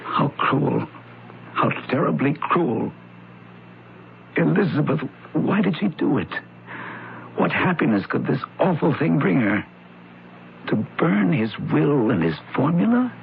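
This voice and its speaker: An actor playing a courteous, naive, wounded son in an old-time radio drama. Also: male voice, a little high, sing-song, unhurried, creaky, long pauses, exaggerated voice. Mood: anguished. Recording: tinny, noisy radio drama recording.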